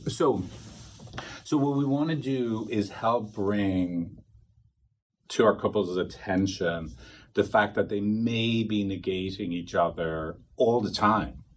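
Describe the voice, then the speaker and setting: Rambling, animated male therapist. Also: on the low side, slow, slight background noise. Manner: rambling, animated, acts out quoted dialogue. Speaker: couples therapist trainer. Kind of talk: casual teaching talk to a small group.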